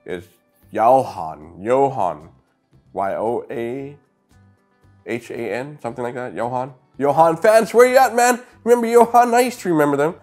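A man's voice: mid-range voice, lively intonation, moderate pace, darkish tone, faint music behind. A middle-aged man with a slightly sniffly nose gives a casual, upbeat toy review, speaking straight to his viewers on a clip-on mic. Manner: chatty, upbeat, talks to viewers directly. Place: indoor room lined with shelves of brick sets. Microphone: clip-on lapel mic.

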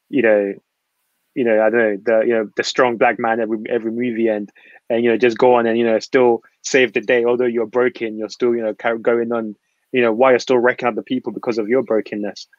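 A man's voice: mid-range voice, no echo. A man who grew up in Ghana, speaking earnestly on a panel over a video call, with lots of filler phrases. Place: small room at home. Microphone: laptop webcam on a video call. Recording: muffled video-call audio.

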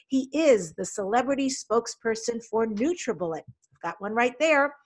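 A woman's voice: on the high side, animated, normal speed. A middle-aged woman hosting a podcast interview from a room at home, speaking into a desk microphone on a video call in an upbeat, enthusiastic way. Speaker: podcast host. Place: home room with folding screen. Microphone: studio desk mic in front, video call.

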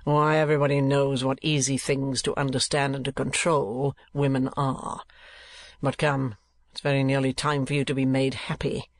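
Cold storybook moneylender, read by a man: mid-pitched, sardonic, deliberate, close dry recording. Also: little pitch movement, dry recording, close to an everyday voice. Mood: sneering. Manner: dry, contemptuous, deliberate mockery.

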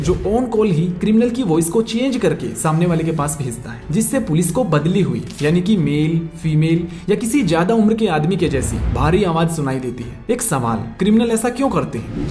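An explainer host narrates from a script with a conversational, friendly form of address, dropping in English words. The voice sits at a middle pitch. It carries a roomy echo, and music plays quietly behind it. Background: background music.

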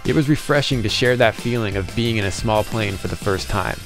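A man's voice: on the low side, a little fast. A man hosting a flying vlog, reading scripted narration in an enthusiastic manner. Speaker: vlog host and student pilot. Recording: music under the voice. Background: music.

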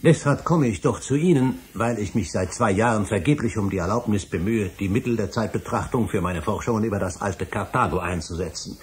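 An actor playing an earnest, obsessive history scholar in a radio drama, speaking formally and insistently, with pleading emphasis. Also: male voice, fairly low pitch, fairly quick, hardly any pauses, slight background noise, close to an everyday voice. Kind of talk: German radio drama acting.

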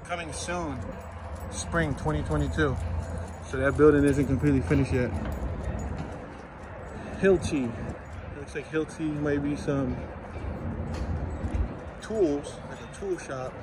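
A man's voice: a little high, slow pace, long pauses. A man in his early thirties giving a casual walking tour, speaking informally and reading out signs and street names as he goes. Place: city sidewalk outdoors, while walking. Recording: outdoor street traffic and ambient noise.

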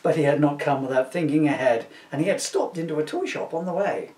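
A middle-aged man reading a comic story aloud in an animated storytelling style. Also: a little high, a little fast. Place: small room at home. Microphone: camera a couple of metres away. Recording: roomy, distant camera microphone.

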